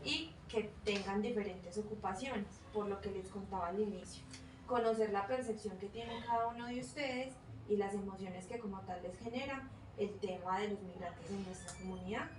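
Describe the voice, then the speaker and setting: A woman's voice: medium pitch, background noise. Woman, focus group moderator, hesitant, echoey room, distant mic. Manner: hesitant, many fillers and self-corrections. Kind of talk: reading a consent form and moderating.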